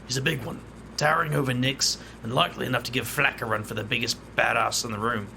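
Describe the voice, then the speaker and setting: A man's voice: mid-range voice, fairly even intonation, fast, vocal fry, sharp tone, slight background noise. A man voicing a laid-back, wry hero who also narrates and calls people mate. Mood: narrating. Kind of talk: audio drama acting with in-character narration.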